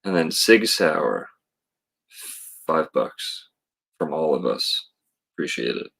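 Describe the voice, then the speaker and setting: Slow, very deep-voiced man. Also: very animated, creaky, fairly bright tone, slightly rough. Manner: slow, rambling, hesitant with fillers. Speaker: podcast host reading super chats. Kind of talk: casual podcast chat.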